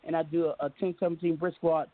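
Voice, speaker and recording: Fast-talking high-voiced man on a phone line. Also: little pitch movement. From US West Coast. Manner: fast, joking, talks over the caller. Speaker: radio show host. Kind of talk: call-in talk radio chat. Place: home studio in the Southwest. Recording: phone-line audio with faint hiss.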